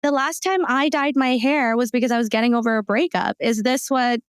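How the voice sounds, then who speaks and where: A young American woman with a fairly high, smooth voice in a very dry recording. She interviews as a podcast host, informal and affirming, with slangy conversational phrasing. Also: brisk, no echo. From Texas, United States.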